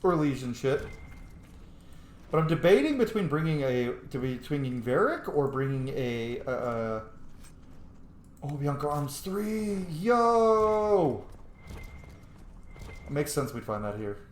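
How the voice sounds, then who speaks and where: A man's voice: on the high side, big pitch swings, slow pace, pauses often. A man giving casual, sweary gameplay commentary, thinking aloud and playfully bursting into silly exclamations. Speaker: streamer playing a role-playing video game. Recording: roomy echo, faint background noise.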